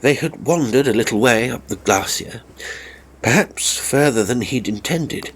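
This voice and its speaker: A man's voice: medium pitch, animated, unhurried, breathy edge, rough recording. A man reading a story as a dramatic narrator, with a theatrical, wry raconteur's delivery.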